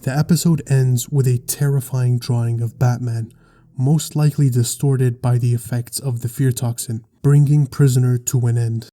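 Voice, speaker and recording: A man narrating a scripted explainer in a measured, articulate storytelling way. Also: mid-range voice, fairly even intonation, warm tone. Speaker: video essay narrator.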